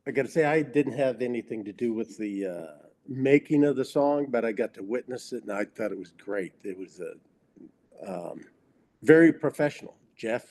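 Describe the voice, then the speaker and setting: A man's voice: medium pitch, a little mellow. A man talking plainly over a video call, hesitating with fillers. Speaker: guest videographer. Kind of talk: casual chat on a video call.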